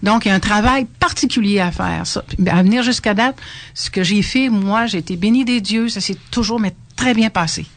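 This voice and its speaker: An older woman speaks as a radio guest in a studio. Her pitch sits mid-range, and she explains earnestly and affirms often. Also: brisk, dry recording. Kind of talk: radio interview.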